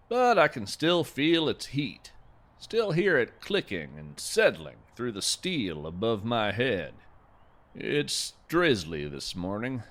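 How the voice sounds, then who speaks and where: A man playing an earnest, folksy naturalist who narrates audio fiction in florid, wonderstruck, deliberate phrases. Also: medium pitch, fairly bright tone, exaggerated voice. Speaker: podcast host and narrator. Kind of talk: scripted first-person audio fiction narration.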